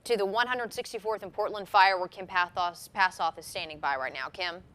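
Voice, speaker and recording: A woman's voice: medium pitch, fairly quick, a bit bright. A woman working as a news anchor, giving live commentary on breaking news.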